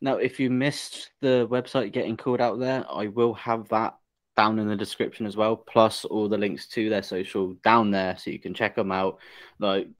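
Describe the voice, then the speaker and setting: A man with an English accent hosting a podcast, chatting casually, joking and laughing often as he asks teasing questions. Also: lowish voice. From England. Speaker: podcast host. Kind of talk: casual interview chat.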